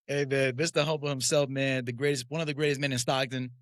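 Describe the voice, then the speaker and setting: A man co-hosting a casual podcast, excitable and full of quick, friendly banter. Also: medium pitch, no echo, studio quality. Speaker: podcast co-host.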